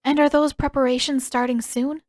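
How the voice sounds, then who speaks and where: Woman as young princess, gracious, composed, polite, raised pitch, audiobook dialogue. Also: flat delivery, normal speed, darkish tone, no room sound, studio quality, natural voice. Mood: composed, eager.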